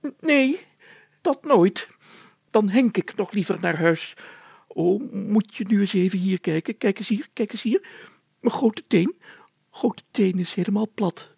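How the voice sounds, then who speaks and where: Man acting a high-pitched, gravelly, pompous grumpy mayor. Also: measured pace, pauses often, a little mellow, dry recording, exaggerated voice. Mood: grumpy, whining. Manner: blustering, complaining, commanding. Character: pompous, grumpy male mayor, whiny and stubborn. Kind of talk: children's storybook character acting.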